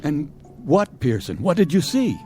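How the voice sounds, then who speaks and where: An actor playing a stern military captain who questions a subordinate in clipped, hard commands, in a radio drama. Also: male voice, a little high, big pitch swings, unhurried. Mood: urgent. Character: stern military captain searching for lost crewman. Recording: music score behind.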